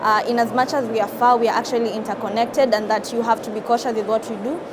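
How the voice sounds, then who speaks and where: A woman in her twenties from Kenya, answering interview questions in an earnest, measured way with frequent pauses and fillers. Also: a little high, fairly even intonation. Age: 20s. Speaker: interview guest, climate activist. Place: studio at an outdoor event. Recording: loud background ambience and traffic noise.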